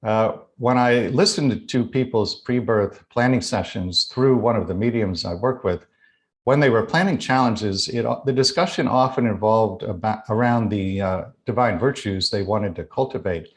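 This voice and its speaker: An American man giving an explanatory spiritual talk as a guest author, speaking in a measured, deliberate and articulate way. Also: fairly low pitch. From United States.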